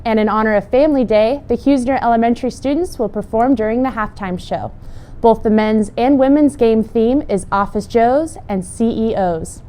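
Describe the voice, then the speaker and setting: A woman's voice: fairly high pitch, warm tone. A young woman reading scripted event announcements as a student news presenter. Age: young adult.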